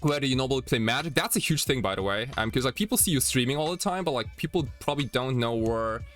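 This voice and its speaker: A man's voice: mid-range voice, lively intonation, a bit bright. Rambling young man over faint music. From Germany. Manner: rambling, halting, filler-heavy. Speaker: podcast host. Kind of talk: casual chat. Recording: music behind the voice. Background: faint background music.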